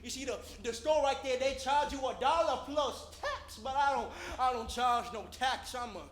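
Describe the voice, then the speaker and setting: A man's voice: very high voice, normal speed, a bit bright, faint music behind. A man performing a dramatic monologue as a weathered, needy homeless panhandler with rambling, stammering, folksy street patter. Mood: earnest, defensive. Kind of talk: theatrical solo dramatic monologue. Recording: roomy echo, faint background noise.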